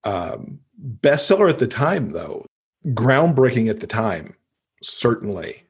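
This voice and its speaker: A man's voice: mid-range voice, sing-song, slow, breathy edge. A man, middle-aged, talking casually and enthusiastically as a guest in an interview about books. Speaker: podcast guest, avid reader.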